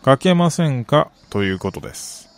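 An actor playing a middle-aged, wistful gambling soccer fan in a radio drama, polite and measured with a rueful, quietly menacing edge. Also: male voice, medium pitch, animated, lightly acted. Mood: quietly challenging. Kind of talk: Japanese radio drama acting.

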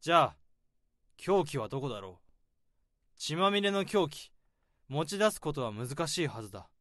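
An overworked office worker who narrates and solves a case in a radio drama. He speaks in a reflective, measured way, and his voice rises and falls with feeling. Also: a little high, very animated, fairly bright tone, no echo, clean studio sound. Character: weary overworked male office worker who plays detective.

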